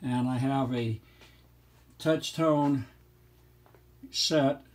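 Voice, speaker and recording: A man's voice: mid-range voice, fairly even intonation, very slow. A man narrating an explanatory demonstration in a methodical, matter-of-fact, technical manner. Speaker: hobbyist presenter.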